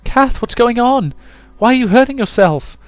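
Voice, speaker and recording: A man's voice: very high-pitched, animated, normal speed, dry recording, voice pushed up. A man voicing an anxious, caring young woman who pleads urgently with her friend in a visual novel. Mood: alarmed, urgent.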